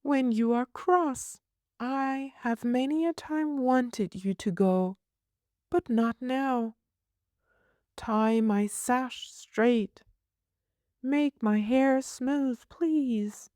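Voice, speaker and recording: Lady doing a prim little girl from a storybook, high voice, solemn n bossy, very proper wording. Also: very slow, a little mellow, no room sound, clean studio sound. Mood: imperious, particular. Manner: precise, imperious, formal phrasing. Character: small solemn, particular, homesick little girl.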